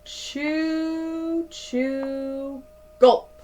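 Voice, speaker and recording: A woman in her thirties reading a picture book aloud to children like a teacher, with deliberate, dramatic emphasis. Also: sits high, steady tone, dark tone, a little hiss or hum. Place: small indoor room at home.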